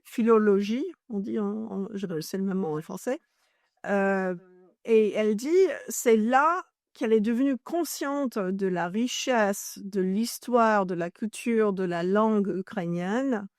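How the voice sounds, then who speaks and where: Woman from Ireland, native English speaker, interview guest who explains at length, stops to find words, mid pitch, dry recording. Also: measured pace, a little mellow, no room sound. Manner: searches for French words, self-correcting as she explains. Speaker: journalist and author, interview guest.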